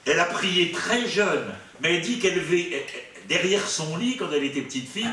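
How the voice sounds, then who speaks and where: A man gives a spiritual talk as a priest at a retreat, in a somewhat high-set voice. He wanders into asides between quotations. The microphone is at a distance, so the room echoes heavily around his words. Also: lively intonation, moderate pace, sharp tone. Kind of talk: spiritual conference, commenting and reading out quotations. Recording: echoey room, distant mic, faint noise.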